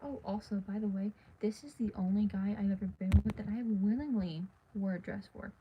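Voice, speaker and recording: A teenage girl livestreaming from her dark bedroom at night, talking in a tired, half-whispered ramble while losing her voice. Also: medium pitch, brisk. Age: teens. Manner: hushed, half-whispered and rambling with many fillers. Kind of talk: casual late-night livestream chat. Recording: dull lo-fi phone audio with faint hiss.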